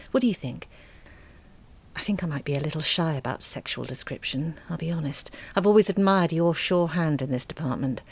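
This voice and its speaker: A woman playing a thoughtful, candid writer in an audio drama, speaking in an intimate, reflective and expressive monologue. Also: deep voice. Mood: hesitant, candid.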